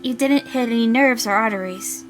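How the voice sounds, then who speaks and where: Woman voicing a brisk, matter-of-fact hospital nurse. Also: fairly high pitch, slight background noise, natural voice. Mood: chiding, concerned. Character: brisk, concerned hospital nurse in a visual novel.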